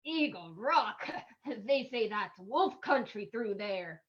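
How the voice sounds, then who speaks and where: A woman's voice: mid-range voice, animated, slow. A woman playing a trusting, nervous male prospector with a folksy manner in an old-time radio drama, on a dull recording. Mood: uneasy. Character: folksy, trusting man, frightened prospector passenger.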